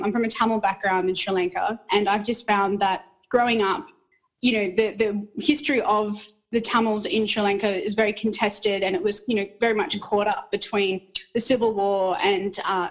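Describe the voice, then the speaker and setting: A woman's voice: medium pitch, low-quality recording. A young woman from Australia, a teacher, talks about her path as a podcast guest. Her delivery is earnest and sincere. She often repeats a word while she gathers her thoughts. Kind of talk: podcast interview.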